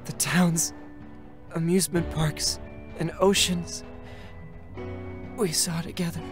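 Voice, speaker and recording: An actor playing a gentle, dying young male android in a dramatic reading, with a light, breathy voice and halting, tender phrases. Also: a little high, flat delivery. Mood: fading, grateful. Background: faint background music.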